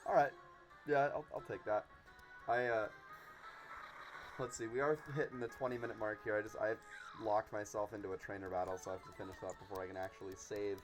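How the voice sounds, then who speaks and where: A young man hosting a casual podcast chat, speaking informally and haltingly, often repeating his words. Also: lowish voice, fairly even intonation, soft background music, rough recording. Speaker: host.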